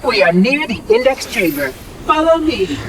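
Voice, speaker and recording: An actor voicing a fussy, officious floating robot caretaker in a game cutscene, with prim, pedantic, formal diction and a synthetic filter. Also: male voice, very high voice, sing-song, moderate pace, distant mic, noisy background. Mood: brisk, matter-of-fact. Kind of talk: video game cutscene acting. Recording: music behind the voice.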